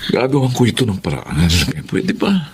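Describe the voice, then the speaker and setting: Low-voiced, proud, stubborn husband. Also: male voice, wildly swooping pitch, moderate pace, darkish tone, slightly rough, exaggerated voice. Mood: downcast, reassuring. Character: proud, stubborn, defensive jobless husband, head of household. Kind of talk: Filipino radio drama acting.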